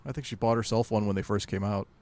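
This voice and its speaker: A man co-hosting a podcast, chatting casually and mostly giving short, relaxed replies. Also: lowish voice, fast. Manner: laid-back, brief agreeing replies. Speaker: podcast co-host. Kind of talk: casual chat.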